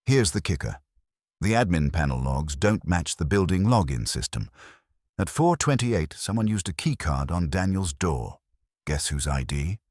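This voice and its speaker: Male narrator as office tech man, very deep, lively intonation, wary, clipped, technical, audiobook mystery. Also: normal speed, dry recording, studio quality. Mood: revealing.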